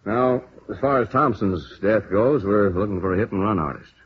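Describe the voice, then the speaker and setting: An actor playing a businesslike American police lieutenant in a vintage radio drama, frank, firm, deliberate and emphatic. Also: male voice, lowish voice, close to an everyday voice. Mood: matter-of-fact. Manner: deliberate, emphatic, matter-of-fact. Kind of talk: 1950s radio drama acting. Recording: muffled, hissy old radio recording.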